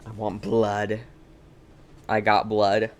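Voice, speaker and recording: A man's voice: on the low side, completely flat delivery, takes it slowly. A man giving casual game stream commentary in a playful, silly, muttering way.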